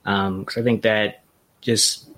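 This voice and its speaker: A man hosting a podcast interview, speaking in a relaxed, conversational way with frequent fillers. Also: fairly low pitch, takes it slowly. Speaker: podcast host.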